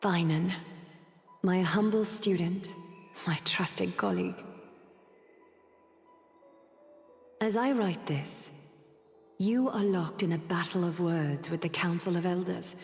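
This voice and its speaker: Dignified, lower-voiced regal woman acted out. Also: big-room sound, soft background music, lightly acted. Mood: solemn, fond. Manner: formal, measured, solemn. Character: dignified regal female mentor and leader, weary yet composed. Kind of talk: scripted game voice acting, reading a letter.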